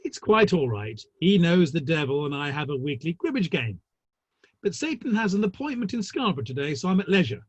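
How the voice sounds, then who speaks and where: A breezy Victorian gentleman, acted by a man, husky and animated, tosses off arch, flippant remarks in a stage-play reading. The sound is dry and a bit dull. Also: fairly high pitch, a little fast, dry recording. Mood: flippant, soothing. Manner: arch, flippant, old-fashioned wording. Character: breezy, wry Victorian gentleman, jovial literary clubman.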